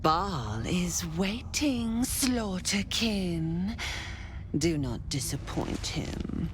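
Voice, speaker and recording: A woman's voice: on the low side, big pitch swings, background noise, heavily acted. A woman voicing a sadistic, bloodthirsty cultist assassin in a video game, theatrical and taunting. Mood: menacing. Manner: theatrical, taunting, slow savoured menace. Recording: voice over loud background music.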